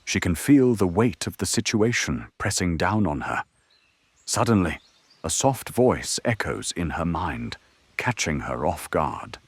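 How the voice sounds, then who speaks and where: Deep, animated male drama narrator. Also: measured pace, husky, dry recording. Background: music.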